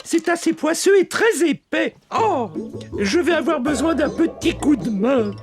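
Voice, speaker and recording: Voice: high voice, expressive, a little slow. An actor voicing a fussy male toy baker in a children's cartoon, theatrical and full of exclamations. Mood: flustered. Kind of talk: French children's cartoon dub acting. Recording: music behind.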